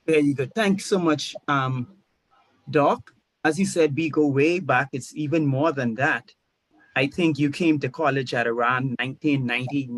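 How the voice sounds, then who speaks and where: Middle-aged man, mid-range pitch, informal host, conversational delivery, dry recording. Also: no echo, rough recording. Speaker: host, pastor. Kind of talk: informal hosting of an online talk.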